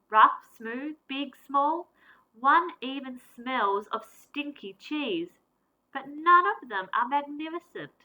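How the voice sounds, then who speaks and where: Woman teacher for a storybook read-aloud, slightly high and bright, unhurried and expressive. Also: rough recording. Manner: expressive, deliberate storytelling with varied emphasis. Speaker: primary school teacher. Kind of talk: reading a picture book aloud.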